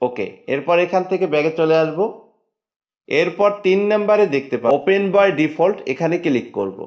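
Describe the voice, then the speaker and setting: A man's voice: on the high side, lively intonation, normal speed. Lo-fi male tech tutorial voice. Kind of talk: step-by-step screen tutorial narration. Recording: dull lo-fi voice-over.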